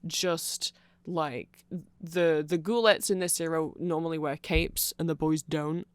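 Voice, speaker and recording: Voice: medium pitch, steady tone, unhurried, clear, resonant tone, no echo. A person giving an informal, rambling ASMR-style explanation full of asides, close to the microphone. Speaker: ASMR audio creator.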